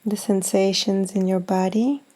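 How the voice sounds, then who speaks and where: A woman leading a guided meditation in a gentle, soothing, unhurried manner with long pauses. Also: mid-range voice, steady tone. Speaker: yoga nidra meditation guide.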